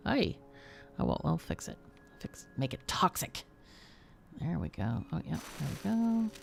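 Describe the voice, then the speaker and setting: A woman giving casual live game commentary, chatty and expressive, often muttering to herself as she thinks aloud. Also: sits low, gravelly, slight background noise. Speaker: game streamer, host.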